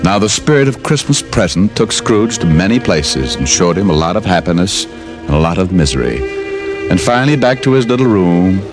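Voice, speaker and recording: An actor narrating an old-time radio drama as a hard-boiled, wisecracking storyteller, slangy and wry. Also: male voice, deep voice, sing-song, normal speed. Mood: wry, suspenseful. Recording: vintage radio recording, music behind.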